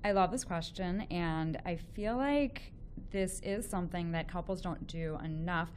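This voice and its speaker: A woman's voice: lowish voice, measured pace, a little mellow, a little hiss or hum. A woman with a Chicago accent hosting a call-in relationship show, chatty and enthusiastic, full of fillers and restarts. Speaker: relationship expert and radio show host. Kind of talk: casual call-in radio chat.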